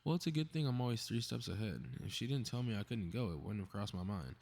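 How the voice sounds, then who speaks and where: Husky, low-voiced cocky superhero, acted by a man. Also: expressive, fast talker, darkish tone. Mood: smug. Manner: sarcastic, exasperated muttering, sighing. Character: cocky, snarky, meddling invisible male superhero grumbling to himself. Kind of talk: scripted ASMR audio roleplay monologue.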